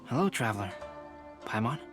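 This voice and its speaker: A man plays a calm young artist in a video game, courteous and sure of himself. His voice is mid-pitched and measured, with music clearly audible underneath. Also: close to an everyday voice. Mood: welcoming. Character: calm, composed young male artist, courteous and self-assured.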